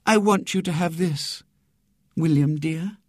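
A man acts out a young woman in a storybook, an older sister. The voice is raised, sweet and gentle, with tender concern. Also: high-pitched, fairly even intonation, unhurried, no echo, clean studio sound, pitched above the performer's own voice. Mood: gentle, sad.

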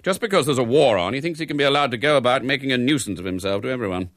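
A man's voice: fairly low pitch, lively intonation, measured pace, fairly bright tone, no echo, studio quality. A man voicing a stern, impatient middle-aged husband and father in a storybook.

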